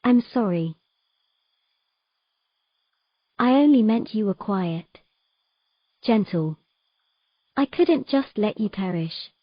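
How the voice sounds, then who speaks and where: A woman plays a young mermaid in a fantasy audio romance, vulnerable and quick to blame herself. Her mid-pitched voice is earnest and pleading, recorded very dry and a little dull. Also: expressive, no room sound. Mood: hurt, pleading. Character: young mermaid Maya, distressed, self-blaming, vulnerable.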